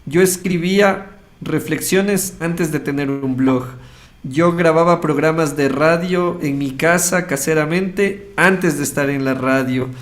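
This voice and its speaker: A man's voice: on the high side, a little slow. A man with an Ecuadorian accent, casually telling a personal story as an interview guest, acting out bits of dialogue as he goes. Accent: Ecuadorian Spanish. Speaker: radio host interviewed as guest.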